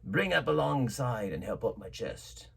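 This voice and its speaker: A man voicing a gruff, commanding old pirate sea captain in a storybook reading, with rough, coarse sailor talk. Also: mid-range voice, very animated, a little slow, a bit bright, full character voice. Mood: gruff, bossy. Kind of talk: storybook character voice in audiobook narration.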